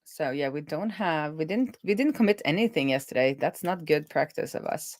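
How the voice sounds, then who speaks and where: A woman hosting a casual coding stream as a web developer, talking informally and thinking out loud as she works. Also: low-pitched, expressive, moderate pace, dry recording.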